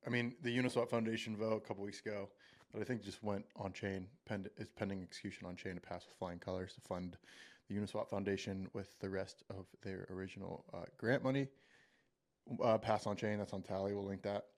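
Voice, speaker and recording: Low-voiced man hosting a casual podcast. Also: fairly even intonation, a little fast. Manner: loose, joking, leads the talk. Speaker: podcast host.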